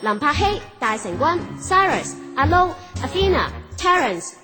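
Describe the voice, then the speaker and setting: A woman announcer reads scripted credits over clearly audible music. Her Hong Kong Cantonese delivery is high-pitched and very lively in intonation. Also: unhurried. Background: background music.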